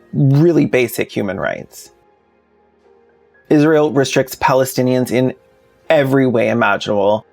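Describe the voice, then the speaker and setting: A man's voice: medium pitch, gravelly. A man narrating a scripted commentary video in a plainspoken, informal way with sardonic asides. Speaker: video essayist, host.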